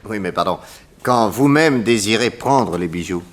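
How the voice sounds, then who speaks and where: A self-assured male private detective, played by an actor in a 1960s French radio drama. His voice sits fairly low, and he is courteous and brisk, with an inquisitive edge. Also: animated, close to an everyday voice. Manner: polite, inquisitive.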